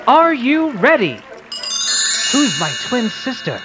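An adult playing an excitable, wholesome boy who hosts a radio quiz, read in the manner of an old comic-book radio play. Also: medium pitch, slow, over music. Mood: showman-like.

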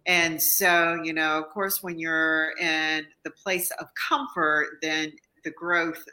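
A woman's voice: low-pitched, fairly even intonation, slow, bright, forceful tone, poor audio. A woman hosting a podcast, asking her guest questions in an encouraging way. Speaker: podcast host. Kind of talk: casual interview chat.

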